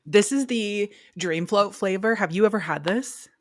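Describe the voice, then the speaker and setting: A woman's voice: medium pitch, normal speed, no echo, studio quality. A young woman co-hosting a podcast, chatting casually with her sister and rambling with lots of "like"s and restarts. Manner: rambling, filler-heavy, many "like"s and restarts. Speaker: podcast co-host. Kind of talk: casual chat between sisters.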